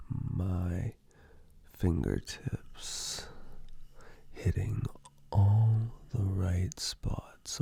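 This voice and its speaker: Very deep, slow, whispering ASMR man. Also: warm tone, no room sound. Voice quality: breathy, husky, mostly whispered. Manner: soothing, intimate, lingering between short phrases. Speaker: ASMR performer giving personal attention. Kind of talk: slow ASMR roleplay, relaxation guidance.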